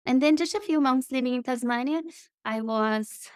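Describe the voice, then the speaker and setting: A woman's voice: sits high, moderate pace, a little mellow, smooth, no room sound, studio quality. A woman in her thirties from Brazil with a Brazilian Portuguese accent, a guest telling her story in an interview with enthusiasm and frequent restarts. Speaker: guest, marketing consultant.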